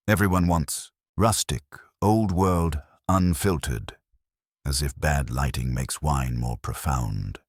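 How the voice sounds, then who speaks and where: A man acting a pompous, deep-voiced wine aesthete. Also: takes it slowly, husky, no room sound, clean studio sound, full character voice. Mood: solemn disdain. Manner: pompous, precise. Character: haughty, affected male wine-event aesthete. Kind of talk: audiobook character dialogue.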